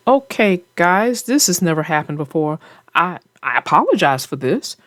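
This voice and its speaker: A woman hosting a children's read-aloud show, chatting about a book with young readers in deliberate, emphatic phrases. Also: fairly low pitch, big pitch swings, no echo, clean studio sound.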